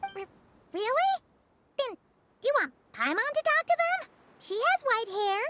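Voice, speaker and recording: A woman voicing a tiny, childlike, excitable floating fairy companion with a squeaky voice in an anime-style video game. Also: measured pace, noisy background, exaggerated voice. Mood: curious, earnest. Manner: bubbly, refers to self in third person. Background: background music.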